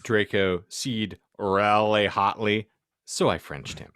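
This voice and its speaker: A man's voice: on the low side, fairly even intonation, takes it slowly, fairly bright tone, smooth, dry recording, clean studio sound. A man hosting a podcast, reading fan fiction theatrically for comedy and exaggerating oddly spelled words. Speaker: podcast host and narrator.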